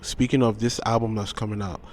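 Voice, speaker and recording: A man with a lowish voice, talking casually as a guest musician in an interview. He is animated and emphatic and often repeats phrases. A faint hiss of noise sits behind him. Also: brisk.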